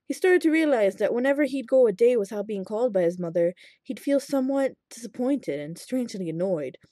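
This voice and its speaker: A woman reading fan fiction aloud as an expressive scripted narration. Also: fairly high pitch, fairly quick, no room sound, studio quality.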